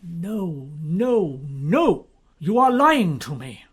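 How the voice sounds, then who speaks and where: A woman's voice: lowish voice, very animated, extremely slow, husky, exaggerated voice. A woman voicing an earnest male police officer who pleads in an urgent, imploring way.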